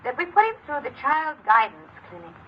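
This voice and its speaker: A muffled vintage radio recording with slight noise. a middle-aged mother, fairly high and very varied in pitch, clipped and formal, anxious yet distant. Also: sing-song, a little fast. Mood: worried, doubting. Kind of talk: old radio crime drama acting.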